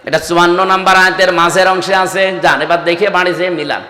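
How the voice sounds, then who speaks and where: A man's voice: high voice, fairly even intonation. A man preaching a fiery, exhorting Islamic sermon to a gathering. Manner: exhorting, calls on listeners to answer louder; breaks into slow melodic Arabic recitation. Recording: echoing PA sound with long reverb.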